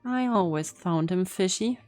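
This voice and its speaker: Woman game streamer, lowish mellow voice, casual joking commentary, thinking aloud, faint music behind. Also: lively intonation, a little slow. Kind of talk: casual reaction commentary during gameplay.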